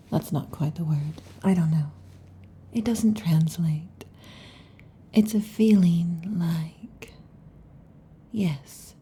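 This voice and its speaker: A woman voicing a possessive, overheated female lamia in an ASMR roleplay, whispering softly and teasingly into a close mic. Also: on the low side, a little hiss or hum. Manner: soft intimate whispering, teasing. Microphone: close sensitive mic.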